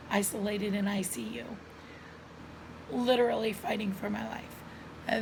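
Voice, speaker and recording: A middle-aged woman vlogger talks straight to her viewers in an earnest, chatty way. Her voice is hoarse and gravelly with a lot of vocal fry, and she keeps breaking off to cough. She is recorded at home on a camera mic, with faint room ambience behind her. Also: a little high, background noise. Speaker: lifestyle vlogger. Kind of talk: casual personal storytelling to camera.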